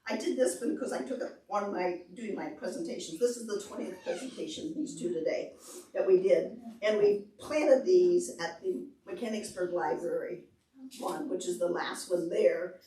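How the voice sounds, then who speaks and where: A woman presenting to preschoolers and parents, explaining simply and asking questions in an animated, demonstrative way. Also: on the low side, moderate pace. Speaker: visiting presenter at a children's library program. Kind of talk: interactive talk to preschoolers and parents. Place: large indoor library meeting room. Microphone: far camera. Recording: echoey room, distant camera microphone.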